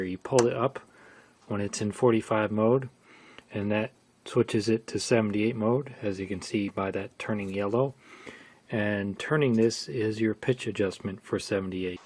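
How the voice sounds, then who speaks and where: A man's voice: fairly low pitch, fairly even intonation, normal speed, darkish tone. A man presenting a hands-on demonstration of how a device's controls work.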